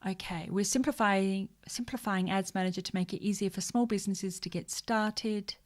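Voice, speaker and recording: A woman hosts a live social media news show in a loose, chatty way. Her voice sits at an ordinary middle pitch. She breaks into joking, exasperated rants and mumbles to herself as she searches through her tabs. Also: steady tone, normal speed. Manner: chatty, mutters asides to herself while searching. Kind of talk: casual livestream news commentary.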